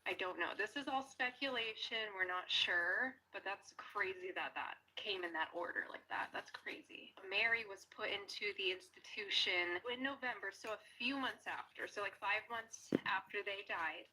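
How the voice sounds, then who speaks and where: A woman chatting casually to viewers as a paranormal video host, hesitant and speculative, often dropping into hushed speech. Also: medium pitch, moderate pace, few pauses, slightly rough, low-quality recording. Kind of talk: casual chat to viewers and companion. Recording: faint background noise.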